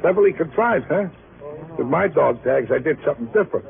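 Dim New cop sidekick, animated. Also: male voice, fairly high pitch, a little fast, vocal fry. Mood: proud. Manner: ungrammatical street talk, proud and plodding explaining. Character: folksy, dim, self-assured police sidekick detective. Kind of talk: 1950s radio drama acting. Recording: muffled old radio broadcast, phone-like.

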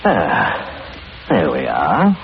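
Deep-voiced, oily old-radio gentleman. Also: wildly swooping pitch, very slow, gravelly, heavily acted. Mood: courteous, probing. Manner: hesitant hemming, repeating phrases, unctuous politeness. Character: fussy, oily, outwardly courteous gentleman with hidden motives. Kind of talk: old-time radio drama acting. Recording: muffled, noisy vintage radio recording.

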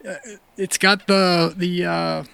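A man co-hosting a podcast, making quick jokey interjections in a casual chat. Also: sits high, little pitch movement, creaky, pushed and bright. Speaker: podcast co-host.

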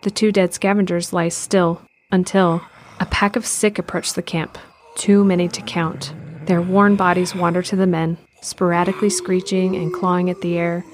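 Low-voiced woman narrating drama. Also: level tone, normal speed, a little hiss or hum. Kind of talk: scripted audio drama narration.

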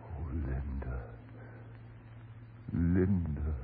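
An actor playing an exasperated, wounded husband with emphatic, pleading delivery in a melodramatic 1930s radio drama. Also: male voice, very deep voice, very slow, a little hiss or hum, heavily acted. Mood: anguished pleading. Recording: tinny, muffled 1930s radio broadcast.

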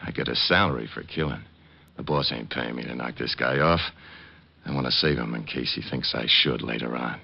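Very deep gravelly gangster thug. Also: male voice, fairly quick, exaggerated voice. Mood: cold, smug. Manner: tough, slangy, threatening. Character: menacing, cold-blooded hired gangster thug. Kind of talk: 1950s radio crime drama acting. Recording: dull old radio recording.

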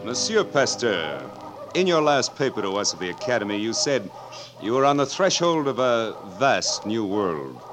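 A man's voice: medium pitch, very animated, normal speed, over music, lightly acted. A male actor in a 1940s radio drama playing a scornful, pompous doctor with a sneering manner. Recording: noisy, clicking old radio recording.

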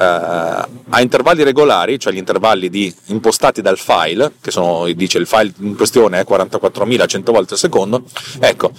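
A man, a little tired, explaining technical matters as a podcast host in an informal, digressive way, often repeating and correcting his words. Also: on the low side. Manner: informal, digressive, slightly stammering with word repetitions and self-corrections; checks in with listeners using rhetorical questions. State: a bit tired. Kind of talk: casual explanatory tech monologue.

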